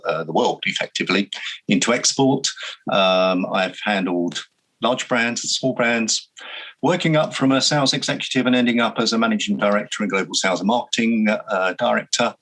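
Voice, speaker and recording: A man in his sixties introducing himself on a panel, measured and earnest. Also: on the low side, a bit bright, poor audio. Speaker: panel guest.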